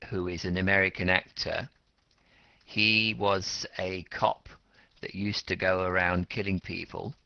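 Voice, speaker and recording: A man at the front of a university language class, his low voice carrying through a muffled recording as he quizzes students and spells out meanings. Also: vocal fry, bright, forceful tone, no echo. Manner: explains words simply, repeating and rephrasing. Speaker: teacher of an English class. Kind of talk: interactive classroom lecture, questioning students.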